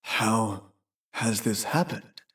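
A man's voice: lowish voice, extremely slow, fairly bright tone, recorded from a distance, pitched below the performer's own voice. A man voicing a proud, stern medieval Scottish knight with solemn, declamatory phrasing in a storybook tale. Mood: puzzled.

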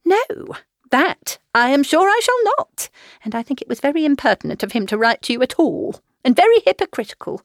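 Audiobook role: woman doing high, shrill, excitable fussing mother, swooping lively voice, dry close mic. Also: no room sound. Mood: indignant. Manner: shrill, rapid complaining outbursts. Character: fretful excitable middle-aged mother, shrill. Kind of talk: audiobook reading.

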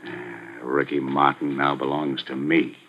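An actor playing a ruthless middle-aged gambling boss, talking tough street slang in a slow, menacing drawl, in 1940s radio acting. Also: male voice, a bass voice, fairly even intonation, vocal fry, full character voice. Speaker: gambling house owner. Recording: muffled 1940s radio broadcast.

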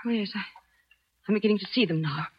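An actress playing a frightened young governess trapped by a killer, in a 1940s radio drama, breathless and pleading. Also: female voice, medium pitch, fast, husky, muffled recording, lightly acted. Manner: breathless and pleading, rising to screams.

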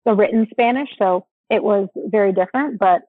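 A young adult woman from the United States, talking casually as an interview guest, relaxed and chatty with many fillers and restarts. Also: mid-range voice, moderate pace, no echo. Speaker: interview guest, former soccer player. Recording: dry tinny phone-like line.

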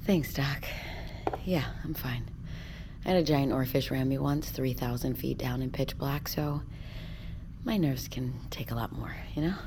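A tough female diver in a naturalistic audio drama. Her very low, gravelly voice is blunt and weary, with noticeable ambient noise behind it. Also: unhurried. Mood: weary, frank.

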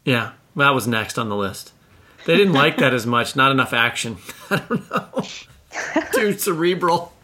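A man's voice: mid-range voice, animated, a little slow, sharp tone, a little hiss or hum. A man co-hosting a podcast, talking in a casual, conversational interview style. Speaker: podcast host.

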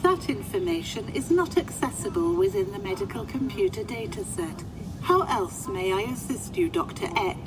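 A woman's voice: on the high side, sing-song, moderate pace. A woman voicing a formal, literal-minded, unflappable medical computer in a science fiction audio drama. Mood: polite, helpful. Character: polite, literal-minded female medical ward computer assistant. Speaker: actor in a fiction podcast. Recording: clear background ambient noise.

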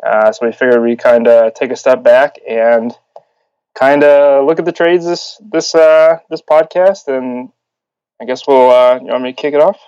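A man co-hosting a casual sports podcast, talking in a relaxed way with some fillers. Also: medium pitch, normal speed, clean tone, no echo, dull audio. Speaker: podcast co-host.

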